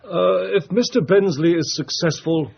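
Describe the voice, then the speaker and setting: Formal lawyer, higher-pitched and precise. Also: male voice, unhurried, gravelly. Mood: formal, officious. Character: formal, meticulous male lawyer reading out wager terms. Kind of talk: period radio drama acting. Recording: dull lo-fi radio copy, faint hiss.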